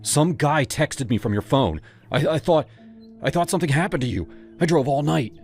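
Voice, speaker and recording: A man's voice: mid-range voice, lively intonation, moderate pace, creaky, breathy edge, faint music behind, voice pushed up. A man voicing a clingy, desperate young man who stammers and pleads through tears. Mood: frantic.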